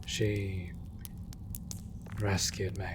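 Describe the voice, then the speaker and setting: Heavy rain fills a cave around a man voicing a gentle, weary monster hunter in a comfort roleplay. He speaks in a hushed, breathy half-whisper, slowly and with halting pauses. The tone stays flat and restrained, lonely yet protective. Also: low voice, background noise. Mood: wistful, subdued. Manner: slow, halting, hushed delivery. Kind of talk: intimate comfort audio roleplay. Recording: loud rain and cave ambience.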